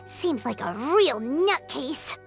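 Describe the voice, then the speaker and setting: A tiny, childlike game companion with an extremely high, squeaky voice. The delivery swings wildly up and down, full of expression. Game music plays clearly behind her. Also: slow pace, exaggerated voice. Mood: indignant. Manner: expressive, indignant, speaks of herself in third person. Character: excitable tiny childlike floating companion who talks in third person. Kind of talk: animated video game acting.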